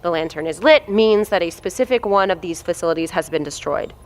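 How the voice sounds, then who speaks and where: A woman's voice: lowish voice, fairly quick, natural voice. An actress playing a blunt, foul-mouthed woman who heads a research facility, talking in a commanding way in an audio drama. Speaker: facility head.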